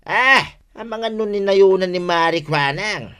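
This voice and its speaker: A man with an Ilocano Filipino accent playing an easygoing, hard-drinking village husband in a radio comedy drama, folksy and excitable. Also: high voice, measured pace, clean tone. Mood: defensive.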